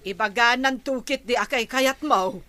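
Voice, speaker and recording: A woman's voice: on the high side, moderate pace, bright, forceful tone. An actress with an Ilocano Filipino accent playing a practical, direct village woman in a conversational style for a radio comedy drama. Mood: questioning, nudging. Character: practical, nudging village woman talking with the captain.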